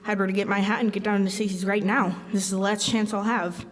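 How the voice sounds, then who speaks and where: A woman's voice: medium pitch, little pitch movement, quick pace, natural voice. A woman playing a harried, impatient middle-aged father in a classic radio-style stage drama, grumbling in exasperation. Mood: flustered, exasperated. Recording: echoey stage hall, background noise.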